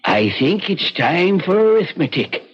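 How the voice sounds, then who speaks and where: An actor playing a genial, patient old-timer in an American radio drama, with a folksy, courteous manner. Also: male voice, high-pitched, very animated, unhurried, breathy edge, heavily acted. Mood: genial, coaxing. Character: genial, patient old-timer guiding two frightened women. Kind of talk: mid-century American radio drama acting. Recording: muffled old radio recording, crowd murmur.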